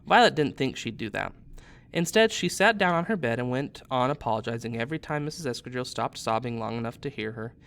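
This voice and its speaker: Guy in his 30s reading a kids novel out loud like a teacher, expressive, normal pitch. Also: fast talker, creaky. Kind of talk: expressive storybook narration.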